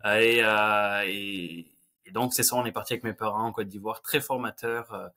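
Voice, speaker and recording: Hesitant man from France, bright voice. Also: lowish voice, fairly even intonation, moderate pace. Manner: hesitant, many fillers, self-mocking humor. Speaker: guest. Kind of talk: conversational interview. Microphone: video call.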